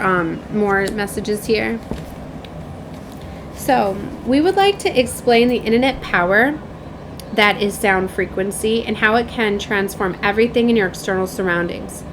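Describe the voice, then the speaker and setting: A woman reading spiritual guidance aloud as a tarot and oracle card reader, in a solemn, deliberate manner. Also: fairly high pitch. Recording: clear background noise.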